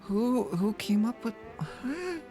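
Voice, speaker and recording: Streamer mumbling thru game reactions, halting n puzzled, midrange voice, faint music behind. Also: mid-range voice, sing-song, mellow. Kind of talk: casual gameplay commentary, reacting to dialogue.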